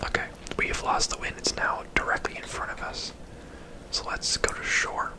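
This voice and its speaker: A person whispering casual, laid-back ASMR commentary over a video game, in a breathy, unvoiced voice. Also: normal speed, rough recording. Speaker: host, gamer.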